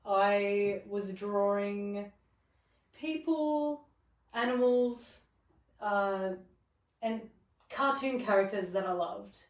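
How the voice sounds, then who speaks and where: A woman from Melbourne, an artist guest answering interview questions in a candid, relaxed way. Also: mid-range voice, extremely slow, pauses often. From Melbourne, Australia. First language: Serbian and English, bilingual from childhood. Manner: candid, relaxed, casually swearing. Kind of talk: casual interview chat. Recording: roomy, distant from the mic.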